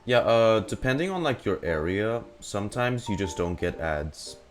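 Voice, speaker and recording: A man's voice: fairly low pitch, expressive, moderate pace, soft background music. A man giving casual live stream commentary on a game, rambling and thinking aloud. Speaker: streamer reacting to a video game.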